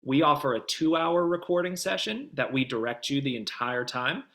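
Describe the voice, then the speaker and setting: A man's voice: medium pitch, lively intonation, moderate pace, sharp tone. A man guest speaking as a voice demo producer, explaining things informally in a casual interview chat, often saying "like". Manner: informal, peppers talk with "like".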